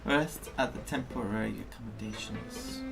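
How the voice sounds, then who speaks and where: A man's voice: lowish voice, normal speed, gravelly, music in the background. A man streaming a game live, casually musing and reacting aloud.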